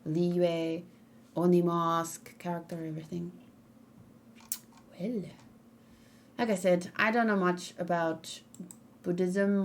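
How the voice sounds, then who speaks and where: A woman streaming live, chatting casually and thinking aloud as she reacts and answers her chat, with plenty of pauses and restarts. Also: fairly low pitch, a little hiss or hum.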